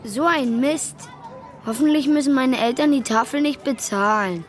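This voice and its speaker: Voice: sits high, moderate pace, a little hiss or hum, natural voice. A performer playing a timid, anxious schoolboy in a children's radio play, speaking hesitantly. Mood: puzzled, worried. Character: timid, easily frightened schoolboy in superhero costume.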